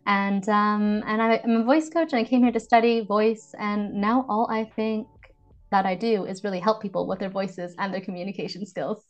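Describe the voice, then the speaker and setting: A woman in her thirties with a Canadian accent talks through a podcast interview in an articulate, measured way. She is a voice coach, and she sits at a mid pitch for a woman and speaks with calm, reflective phrasing. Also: muffled recording. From Vancouver, Canada. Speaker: voice and communications coach.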